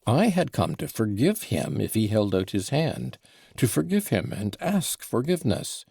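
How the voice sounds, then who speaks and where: A man's voice: medium pitch, big pitch swings, normal speed, gravelly, warm tone, husky, dry recording, studio quality, pitched above the performer's own voice, exaggerated voice. A man voicing a proud, angry young man who speaks with tense restraint. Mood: bitter. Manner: tense, breathing hard, growling.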